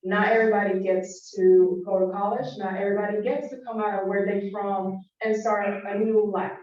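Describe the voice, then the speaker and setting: A young woman from Puerto Rico, a native Spanish speaker, offers earnest, halting thanks. She pauses often on ums. Her voice sounds distant and muffled in a strongly echoing hall. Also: mid-range voice, level tone, darkish tone. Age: young adult. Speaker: scholarship recipient. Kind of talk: thank-you speech. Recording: echoey hall, distant and dull.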